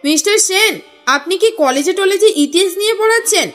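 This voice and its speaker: A woman's voice: extremely high pitch, expressive, normal speed, a bit bright, pitched above the performer's own voice. A woman voicing a composed, inquisitive male detective in an audio story, polite and probing in manner. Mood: curious, probing. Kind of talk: audio story character reading. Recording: music under the voice.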